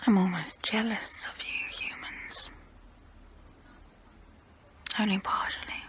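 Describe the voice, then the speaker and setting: A woman playing a tormented, confessional female vampire in an ASMR role play, whispering softly and breathily up close to the mic. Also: mid-range voice, fairly even intonation, lightly acted. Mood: wistful, resigned. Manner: slow, hushed, deliberate, pausing between phrases. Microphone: close-up microphone.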